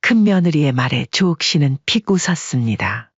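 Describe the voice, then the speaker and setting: A woman reads a family drama in a calm, measured voice that is slightly husky. The recording is close and dry, with a somewhat dull, muffled tone. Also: low-pitched, no room sound. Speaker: audiobook narrator. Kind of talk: scripted story narration.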